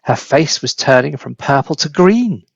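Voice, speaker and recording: A man reading a children's story aloud as a dramatic, deliberate, expressive narrator. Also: on the high side, gravelly, dry recording, muffled recording. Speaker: storybook reader.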